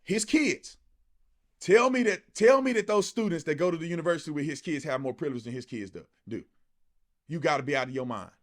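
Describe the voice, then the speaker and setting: Guy ranting opinions, really high voice, swings up and down, repeats himself for emphasis. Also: expressive, fairly quick. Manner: emphatic, repetitive, rhetorical questions, colloquial. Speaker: political commentator. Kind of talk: impassioned opinion monologue.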